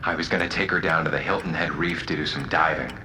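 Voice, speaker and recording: A man playing a cunning, taunting telepathic convict in an audio drama, with a deliberate, theatrical and mocking delivery. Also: extremely low pitch, background noise. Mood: reflective. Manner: theatrical, deliberate, mocking, swinging between calm and outburst. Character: cunning, taunting telepathic male convict pleading his appeal.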